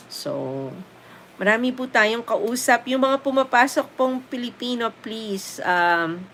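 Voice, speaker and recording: A woman's voice: on the low side, a bit bright, slight background noise. A middle-aged Filipino woman with a Filipino accent, whose first language is Tagalog, hosting a casual live stream where she reads viewer comments aloud and answers them with easy laughs. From Philippines.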